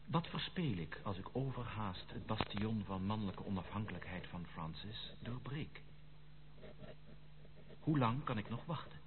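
An actor playing a lovestruck gentleman who narrates his letter to a friend in a formal, measured, literary way in a radio play. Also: fairly low pitch, lively intonation. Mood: yearning, earnest. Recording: noisy, tinny, band-limited old radio recording.

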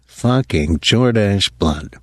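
A man's voice: lowish voice, very animated, normal speed, no echo. A man voicing a blunt, short-tempered father in an audiobook, curt and plain-spoken with a bitter edge.

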